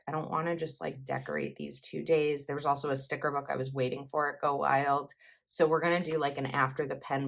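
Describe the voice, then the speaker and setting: Planner vlogger, young woman, low voice, slightly froggy, rambling and self-correcting. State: slightly froggy voice from heavy talking. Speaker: planner YouTuber. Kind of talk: casual planner vlog chat.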